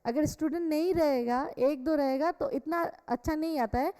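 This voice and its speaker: High-pitched Indian woman teaching, echoey room. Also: normal speed, mellow. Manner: instructional, repeats key points, frequent check questions. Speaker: teacher of a company law class. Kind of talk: classroom lecture mixing Hindi and English. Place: classroom with students also attending online. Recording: echoey hall-like room reverb.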